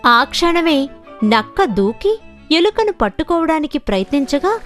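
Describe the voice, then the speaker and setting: A woman narrates children's fables in a scripted storytelling style. Her voice is high and very animated, with music behind it. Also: unhurried.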